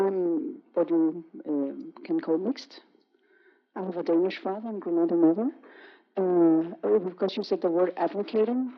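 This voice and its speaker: A woman artist from Greenland speaking reflectively on a panel, halting and searching for words. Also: lowish voice. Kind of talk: panel conversation. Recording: muffled, dull sound.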